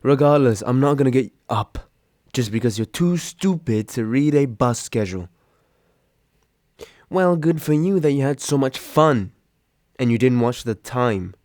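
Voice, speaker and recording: A man's voice: medium pitch, animated, a little slow, darkish tone, dry recording, lightly acted. Man playing a grumbling, sarcastic young roommate, dry close sound. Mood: annoyed. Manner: grumbling, sighing, exasperated. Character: grumpy sarcastic young male roommate, secretly caring, woken from sleep. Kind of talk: intimate roleplay audio drama, one side of a phone call.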